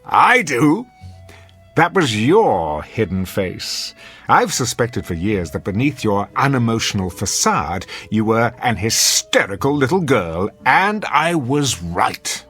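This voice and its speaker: Suave, sadistic husband, wildly swooping delivery. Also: male voice, mid-range voice, very animated, takes it slowly, a bit bright, soft background music. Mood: smug. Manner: pompous, theatrical, urbane, goading. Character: suave, sadistic middle-aged husband, amused and manipulative. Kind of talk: old-time radio drama acting.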